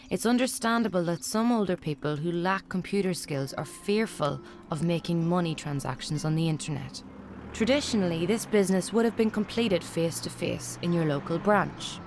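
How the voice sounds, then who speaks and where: A woman giving a measured, scripted documentary voice-over. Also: on the low side. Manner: measured, informative. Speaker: narrator.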